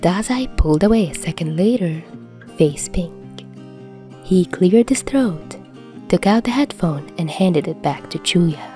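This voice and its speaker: A woman narrating fan fiction as an audio reader, in an expressive storytelling style. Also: fairly low pitch, unhurried, soft background music. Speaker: podfic narrator.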